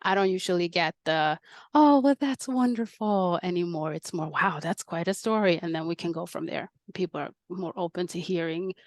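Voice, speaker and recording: A woman's voice: on the low side, normal speed, no room sound. A woman in her forties, born in Colombia, telling her own story earnestly as a podcast guest. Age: 40s. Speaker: guest, adoptee. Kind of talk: reflective interview talk.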